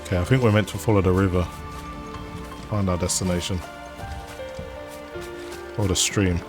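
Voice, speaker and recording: A man giving casual gameplay commentary in a relaxed way, with fillers. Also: low-pitched, normal speed. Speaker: gaming channel host. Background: game music.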